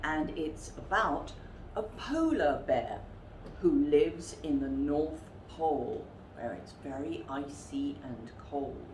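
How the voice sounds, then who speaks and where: A woman reading a children's story aloud expressively, with theatrical, deliberate emphasis. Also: low voice, animated, darkish tone. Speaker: storytime reader. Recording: roomy echo with faint background noise.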